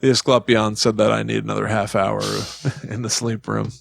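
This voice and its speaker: A man's voice: lowish voice, flat delivery, moderate pace, vocal fry, soft background music. A man co-hosting a podcast in casual conversation, with jokey, mock-dramatic asides. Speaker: podcast co-host.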